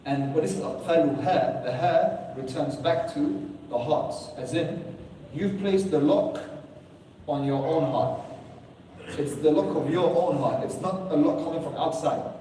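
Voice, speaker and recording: A man's voice: fairly high pitch, a little slow, dark tone, slight background noise. A man giving a sermon to a room of listeners, earnest and full of rhetorical questions, now and then reciting Arabic verses. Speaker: preacher. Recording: echoey hall, distant mic.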